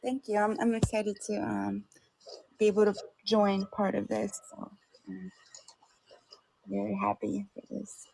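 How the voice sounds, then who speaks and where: A young woman from Ukraine, a podcast guest telling her own story in a halting, hesitant, soft-spoken way over a remote call. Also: mid-range voice, flat delivery, creaky, poor audio. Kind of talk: personal testimony.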